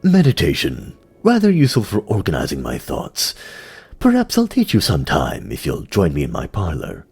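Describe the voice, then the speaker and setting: A man playing a grave giant spider lord commander in a fantasy audio drama, speaking in a slow, measured, brooding way. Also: very deep voice, huge pitch swings, darkish tone, slightly rough, soft background music, pitched below the performer's own voice, heavily acted.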